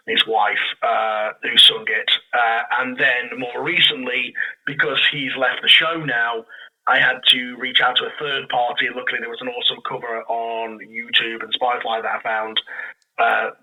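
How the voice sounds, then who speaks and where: A man's voice: mid-range voice, sharp tone, rough recording. A man talking casually and enthusiastically as an interview guest, animatedly acting out imagined reactions as he explains. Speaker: guest, audio drama creator.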